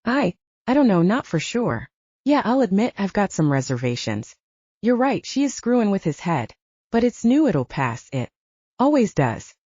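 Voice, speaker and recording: A woman's voice: medium pitch, big pitch swings, normal speed, mellow, slightly rough, no echo, lo-fi sound. A woman voicing a blunt, swearing male werewolf soldier who is loyal to his team, in slangy audiobook dialogue. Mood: defensive.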